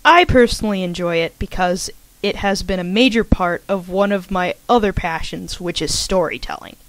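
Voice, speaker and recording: A woman's voice: on the low side, moderate pace, no room sound, low-quality recording. A woman channel host giving a chatty, scripted introduction to listeners, informal and full of self-aware asides. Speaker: host of a gaming channel, fan fiction writer introducing a podfic.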